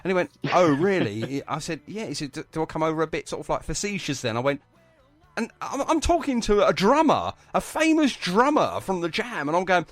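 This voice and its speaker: A man's voice: fairly high pitch, sing-song, fairly quick, no room sound, soft background music. A man hosting a live radio interview in a chatty, effusive, rambling and anecdotal way. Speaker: radio show host.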